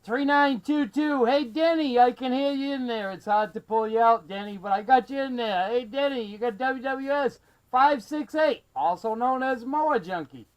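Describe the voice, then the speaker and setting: A man from Massachusetts chatting as a CB radio operator, friendly and excitable, calling out callsigns and sign-offs. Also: extremely high pitch, moderate pace, smooth, rough recording. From Massachusetts, USA. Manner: excitable, friendly, prone to raising his voice. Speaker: CB radio operator running the channel from South Carolina.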